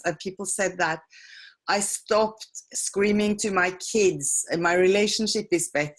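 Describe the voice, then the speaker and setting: A woman teaching feng shui and decluttering in a live webinar. English is not her first language, and she talks haltingly, with many fillers and self-corrections. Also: lowish voice. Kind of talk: live webinar sales pitch and Q&A. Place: home on a small island.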